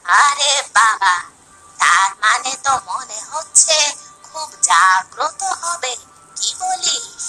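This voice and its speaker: A woman's voice: sits high, animated, slow, pushed and bright, soft background music, full character voice. A woman playing an elderly, poor village mother in a cartoon story, fretful and protective, full of folksy questions and exclamations. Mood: curious, puzzled. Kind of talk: Bengali animated moral story acting.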